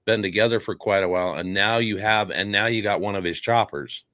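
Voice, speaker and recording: A man's voice: lowish voice, a little fast, fairly bright tone, no room sound. A man hosting a casual podcast interview, friendly and giving short reactions. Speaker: podcast host.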